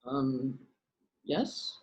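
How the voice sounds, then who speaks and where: A woman's voice: very deep voice, steady tone, extremely slow, darkish tone, reverberant, heavily acted, low-quality recording. A woman playing a good-natured, hesitant young college guy in a comedy table read. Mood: bewildered. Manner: hesitant, puzzled, earnest.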